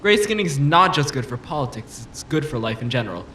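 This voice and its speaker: A man's voice: medium pitch, big pitch swings, fast, bright, forceful tone. An actor playing a self-assured, combative politician in an audio drama, pressing his argument with polished, insistent rhetoric. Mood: confident, persuasive. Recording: roomy echo, faint background noise.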